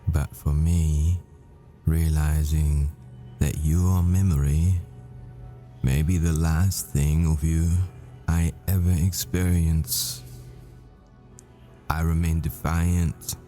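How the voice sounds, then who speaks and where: A man playing a grieving, devoted professor boyfriend at the world's end in an intimate audio roleplay, speaking in hushed, halting, brooding phrases. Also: extremely low pitch, flat delivery. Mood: anguished, defiant. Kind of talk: intimate ASMR boyfriend roleplay, dramatic monologue. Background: music.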